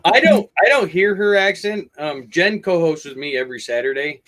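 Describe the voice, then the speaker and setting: A man's voice: fairly high pitch, lively intonation, moderate pace, noisy background. Easygoing male livestream host. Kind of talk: casual chat on a livestream. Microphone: video call.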